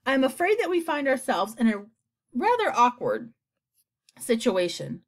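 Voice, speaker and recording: A woman's voice: high-pitched, big pitch swings, voice pushed up, full character voice. A woman voicing a polite old male grasshopper from a storybook, speaking formally as a reassuring elder. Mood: uneasy politeness. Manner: formal, measured, courteous.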